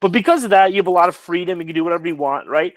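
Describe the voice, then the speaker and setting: A man whose first language is Polish, telling animated travel stories in casual chat over a video call. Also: high voice, rapid-fire, no room sound. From United States. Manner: animated storyteller, many restarts.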